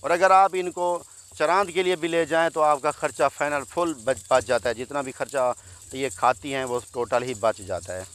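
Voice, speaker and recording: A man's voice: a little high, a bit bright, clear, resonant tone. Chatty salesman-like man from Pakistan. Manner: chatty salesman, repeatedly addressing friends. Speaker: goat farm owner and seller. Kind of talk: casual sales walkthrough vlog. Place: open harvested field outdoors.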